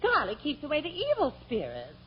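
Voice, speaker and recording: An actress playing a bossy, scolding middle-aged wife with an emphatic, theatrical manner in a 1940s radio comedy. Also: female voice, high-pitched, huge pitch swings, a little fast. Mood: insistent. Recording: muffled, noisy old radio recording.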